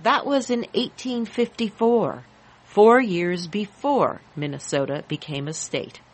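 A woman's voice: mid-range voice, a little slow. A woman with an American accent reading scripted news narration as a reporter.

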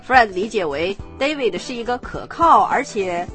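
A woman narrating and explaining a scripted language lesson in a clear, explanatory manner. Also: mid-range voice, expressive, moderate pace, fairly bright tone, faint music behind.

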